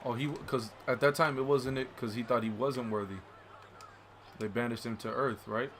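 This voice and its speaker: A man's voice: mid-range voice, steady tone, normal speed, background noise. A man in a casual, slangy movie discussion, informally quoting lines as a co-commentator. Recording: basketball game crowd and bouncing behind. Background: basketball video game crowd and ball bounces.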